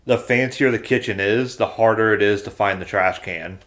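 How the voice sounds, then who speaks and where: A man's voice: lowish voice, normal speed, fairly bright tone. A man hosting a podcast in a casual, chatty style. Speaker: podcast host. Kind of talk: casual chat.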